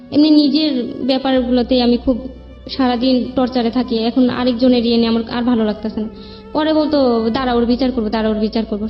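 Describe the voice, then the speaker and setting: Woman, Bangladeshi accent, higher pitch, plain rambling personal storytelling, interview guest, dulled recording, soft music underneath. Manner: rambling, plain-spoken narration with quoted dialogue. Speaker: guest telling her life story. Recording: band-limited dull sound with background music.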